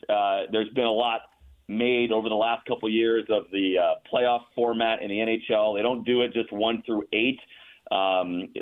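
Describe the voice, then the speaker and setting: A man speaking over a tinny phone line, his voice a little low. He talks in a chatty, fact-heavy way, often restarting his sentences, as a guest on a radio show. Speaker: radio play-by-play announcer, guest. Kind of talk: casual radio interview.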